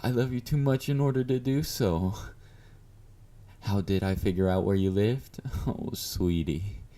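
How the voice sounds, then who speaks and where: Casting: male actor for a clingy yandere admirer in audio roleplay, dark soft timbre, slow delivery, flirty and self-assured. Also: fairly low pitch, close to an everyday voice. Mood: confident, doting. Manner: doting, confident, coaxing with pet names. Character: obsessive yandere male fan, clingy, flirty, confident stalker. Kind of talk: intimate roleplay monologue addressed to the listener.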